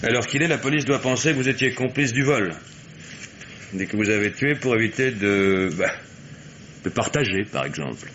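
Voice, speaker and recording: An actor playing a shrewd, self-assured investigator in an old French radio mystery, with a measured, probing, faintly ironic delivery. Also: male voice, medium pitch, lively intonation, natural voice. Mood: methodical, assured. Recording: dull, hissy old radio recording.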